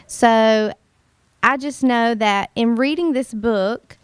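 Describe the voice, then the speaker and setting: A woman, a kindergarten teacher who owns a boutique, tells her story to a college audience. She sounds earnest and reflective, and her fairly high voice comes through clean. The recording is close and dry. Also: slow, clean tone, no room sound. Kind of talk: personal panel talk. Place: warm college room.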